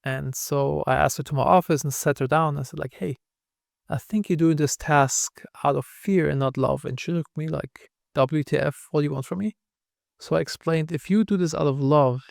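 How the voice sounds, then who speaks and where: Candid German-accented founder, slightly high gravelly voice, dry close mic. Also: male voice, darkish tone, no room sound. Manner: candid, storytelling, self-deprecating. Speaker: podcast guest, founder. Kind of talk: casual interview talk.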